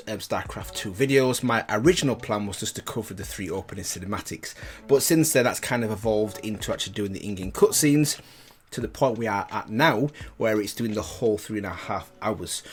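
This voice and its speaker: Animated man giving casual reaction commentary. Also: medium pitch. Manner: informal, exclamatory. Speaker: YouTube reaction host.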